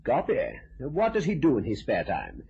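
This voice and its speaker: An actor playing a polite, anxious theatre producer who talks hesitantly, in an old radio drama. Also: male voice, mid-range voice, wildly swooping pitch, normal speed, creaky, mellow, husky, dull audio, full character voice. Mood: flustered.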